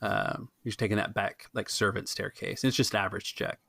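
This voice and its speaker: Low, creaky male game master. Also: steady tone, fairly bright tone, dry recording, studio quality. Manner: halting, informal. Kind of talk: improvised tabletop game narration.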